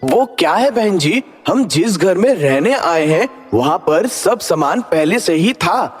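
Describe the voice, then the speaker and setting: Man voicing a high-pitched cautious male ghost, reverberant. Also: lively intonation, takes it slowly, full character voice. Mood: politely evasive. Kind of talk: animated Hindi story dialogue. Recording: long hall reverb, music underneath.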